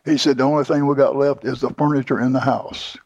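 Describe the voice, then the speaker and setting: A man's voice: medium pitch, fairly even intonation, quick pace, a little mellow, no echo, lo-fi sound. An older man telling his life story in an interview, plainly and with many restarts. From Houston, Texas. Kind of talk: oral history interview.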